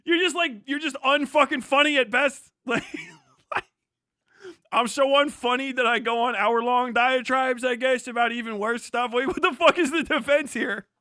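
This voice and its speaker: A man's voice: very high-pitched, moderate pace, pushed and bright, no echo, clean studio sound. A man giving casual, mocking live reaction commentary as a streamer, incredulous and heavily profane.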